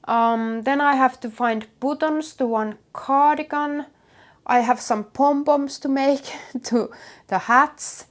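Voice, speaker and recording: Woman, Finnish accent, native Finnish speaker, slightly high pitch, slow pace, hesitant pauses, casual vlog chat. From Finland. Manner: hesitant, halting with many pauses. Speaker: knitwear designer and vlog host.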